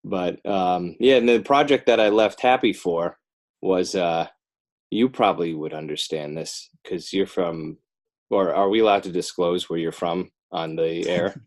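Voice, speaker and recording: Middle-aged american guy on a podcast, lowish voice, rambles, pokes fun at himself. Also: measured pace. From northern New Jersey, United States. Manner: rambling, self-deprecating, wry. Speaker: podcast guest, animator and children's author. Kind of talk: casual joking interview chat.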